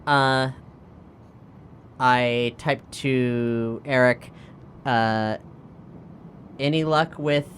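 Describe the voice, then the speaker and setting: A woman playing a tabletop role-playing game, improvising casually and thinking aloud in halting phrases. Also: rumbling low, fairly even intonation, a little hiss or hum.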